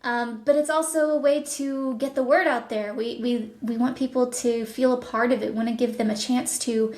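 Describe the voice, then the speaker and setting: High-voiced woman in an echoey room. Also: fairly even intonation, moderate pace, darkish tone. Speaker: podcast guest, audio drama producer. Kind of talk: conversational project pitch in an interview. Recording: echoey, roomy sound.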